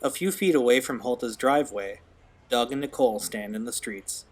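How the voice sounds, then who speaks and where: A man's voice: medium pitch, normal speed, close to an everyday voice. A man narrating a scripted audio drama as its storyteller. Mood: measured.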